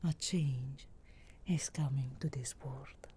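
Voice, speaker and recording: A woman voicing a sarcastic, guardedly caring witch of the wilds in a slow, breathy whisper for an ASMR fantasy roleplay. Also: very deep voice, fairly even intonation, dark tone, slight background noise. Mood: solemn, caring. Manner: slow, measured, archly formal phrasing.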